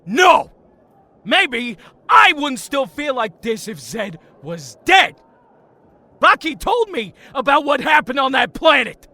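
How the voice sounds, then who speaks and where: A man's voice: extremely high pitch, sing-song, slow, pushed and bright, no echo, shouting, heavily acted. A man playing a drunk, bitter and jealous young ex-hero in an audio drama, with taunting, resentful outbursts. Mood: furious.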